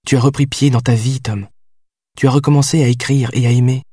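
A man's voice: mid-range voice, fairly even intonation, a little fast, slightly rough, no echo, clean studio sound, natural voice. A man reading an audiobook voices a passionate, heartbroken young woman who pleads and cries out. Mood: tender. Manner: pleading, then shouting through tears. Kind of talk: audiobook character voice.

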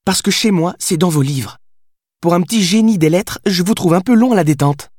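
A man's voice: high voice, expressive, brisk, darkish tone, dry recording, clean studio sound, voice pushed up. A man voicing a brash, sassy, foul-mouthed young woman in an audiobook, with a cheeky, insistent way of talking. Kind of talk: audiobook character dialogue.

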